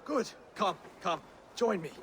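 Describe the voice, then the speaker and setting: A man's voice: sits high, expressive, slow pace, slight background noise. An actor playing a genial, courteous Saxon king in a video game, polite and formal. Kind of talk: video game drama acting.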